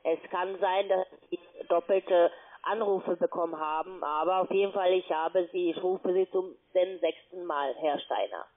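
Woman call-centre pitch over a tinny phone. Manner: halting German, keeps repeating the name. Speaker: scam call-centre advisor.

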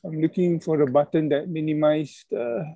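A middle-aged man from Malaysia giving an online conference talk over a video call, halting and hesitant, with many fillers and apologies. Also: fairly high pitch, fairly even intonation, creaky, dark tone, no echo. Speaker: conference speaker. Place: indoors at a computer.

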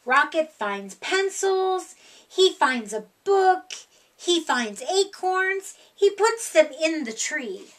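A middle-aged woman reading a children's picture book aloud in a deliberate, expressive way. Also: very high voice. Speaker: storytime reader. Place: small room indoors.

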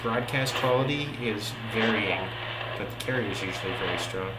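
A man casually talking through the stations as he tunes a shortwave radio, relaxed and unhurried, thinking aloud with many pauses. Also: fairly low pitch, heavy background noise. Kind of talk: casual narration while tuning a radio. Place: indoor room at a desk with the radio. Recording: roomy, distant, loud radio broadcasts behind. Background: shortwave radio broadcasts and music.

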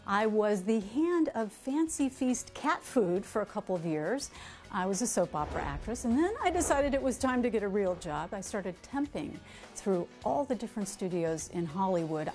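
A woman in her forties, an executive coach, speaking briskly and directly to the camera while seated in an office. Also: medium pitch, animated, darkish tone, soft background music. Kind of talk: interview-style talk to camera. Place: office room indoors.

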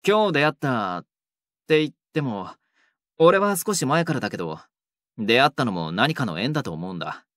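A man playing an earnest, quietly lovestruck young delinquent in a romantic audio drama. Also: mid-range voice, very animated, moderate pace, no echo, studio quality. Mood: earnest, tender.

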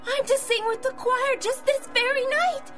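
A woman's voice: extremely high pitch, steady tone, moderate pace, fairly bright tone, soft background music. A woman playing a brave, earnest little boy who works as a young agent, in a rhymed radio drama. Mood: anxious. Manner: plucky, earnest.

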